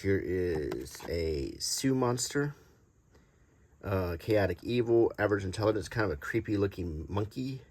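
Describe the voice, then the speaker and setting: Low-voiced middle-aged man, casual show-and-tell. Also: animated, unhurried, a little mellow. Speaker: host presenting a vintage game card collection. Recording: noticeable background noise, small clicks.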